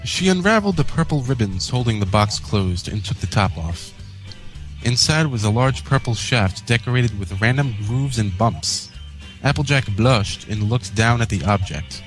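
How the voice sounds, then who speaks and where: A man's voice: lowish voice, expressive, normal speed, soft background music, low-quality recording. A man reading a scripted story aloud as a co-host and narrator. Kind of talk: scripted fan fiction narration.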